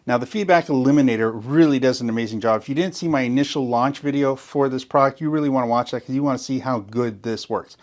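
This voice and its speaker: A man's voice: medium pitch, quick pace, few pauses. A man walking viewers through a sound system setup in a step-by-step tutorial, enthusiastic and explanatory, often stressing what is very important. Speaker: gear demonstrator. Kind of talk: tutorial walkthrough.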